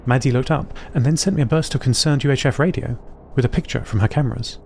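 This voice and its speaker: A man narrating audio fiction as an observant, earnest robot, in a precise and measured way. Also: medium pitch, gravelly, a little mellow, slight background noise, lightly acted.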